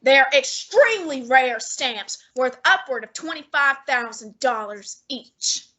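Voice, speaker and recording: A woman playing a pompous male genius detective, using precise and measured diction in a student radio drama. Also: sits high, animated, pushed and bright, heavily acted. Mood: deducing. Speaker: student actor.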